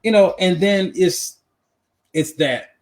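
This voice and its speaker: A man co-hosting a podcast in casual movie chat, animated and emphatic, often repeating himself for effect. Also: sits high, slow pace. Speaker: podcast co-host.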